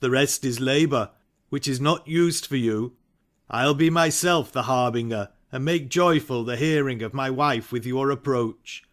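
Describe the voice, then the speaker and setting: Man playing a slow-speaking ambitious nobleman. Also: mid-range voice, fairly bright tone, close to an everyday voice. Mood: dutiful, humble. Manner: measured, formal delivery. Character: ambitious nobleman warrior hiding dark desires. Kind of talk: dramatic Shakespearean reading.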